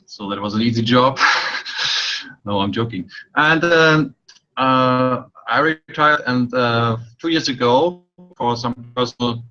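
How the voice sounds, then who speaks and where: Slow german guy in his 60s, bright forceful voice, lots of ums, muffled call audio. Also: medium pitch. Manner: halting, many fillers, dry joking. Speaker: webinar guest. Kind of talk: casual self-introduction. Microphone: video call.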